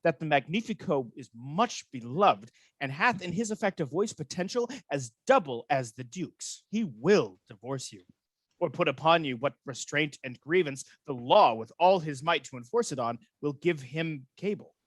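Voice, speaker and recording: Scheming military ensign played by a man, fairly high voice, measured Shakespearean delivery, close dry recording. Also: lively intonation, no echo. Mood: confiding. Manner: measured, theatrical verse delivery. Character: scheming soldier ensign, slyly loyal to his general. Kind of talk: amateur Shakespeare radio play acting. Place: home, recorded over a video call.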